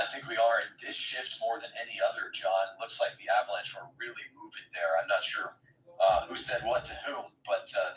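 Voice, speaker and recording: Low-voiced guy with a bit of rasp doing hockey color from his couch, lots of uhs, far from the mic, echoey and hissy. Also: fairly bright tone. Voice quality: slightly raspy. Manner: hesitant, many uh fillers, laid-back. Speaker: color commentator. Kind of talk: relaxed hockey color commentary. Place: home, on a couch. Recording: distant lo-fi roomy mic with faint hiss.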